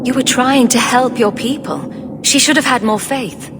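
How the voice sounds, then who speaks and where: A woman playing a composed, sympathetic human adventurer in a fantasy game, calm and reassuring. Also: a little high, a little slow. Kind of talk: video game voice acting. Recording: clear background ambient noise.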